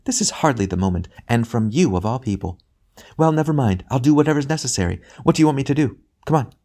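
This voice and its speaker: A man voicing the bitter ghost of a mother, snappish and demanding, raising his voice higher for her. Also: animated, fast talker, dark tone, natural voice. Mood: impatient, bitter.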